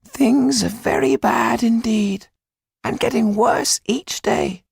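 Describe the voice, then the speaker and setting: A man voicing a small, affectionate, excitable older man in an audiobook, with a high, husky, creaky voice and an emphatic, fussing manner. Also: takes it slowly, no room sound, studio quality, exaggerated voice. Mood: grave. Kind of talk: audiobook character acting.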